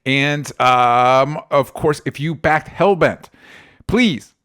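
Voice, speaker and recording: A man hosting a casual pop culture podcast, talking informally in a halting, rambling way with self-interruptions and the odd mild swear. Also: on the high side, a bit bright, smooth. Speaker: podcast host.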